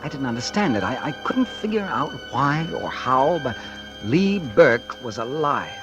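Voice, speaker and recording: An actor playing a bitter, vengeful ex-convict, narrating and speaking in a hard-boiled, brooding 1940s radio drama style. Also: male voice, mid-range voice, big pitch swings, a little slow. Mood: grim, intent. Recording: old radio broadcast with loud music behind.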